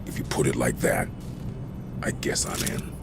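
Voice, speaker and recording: An actor playing a wary, world-weary but loyal soldier in a video game, with a dry, understated delivery. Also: male voice, rumbling low, expressive, moderate pace, gravelly, heavily acted. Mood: wary, resigned. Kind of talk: cinematic video game acting. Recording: loud film-style music under voice.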